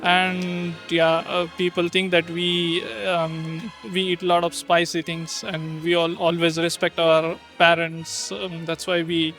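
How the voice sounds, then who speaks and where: A relaxed man from Bangladesh answers easily and agreeably. His voice sits a little high. Faint music plays behind him. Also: unhurried, hardly any pauses. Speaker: street interviewee. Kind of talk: casual street interview answers.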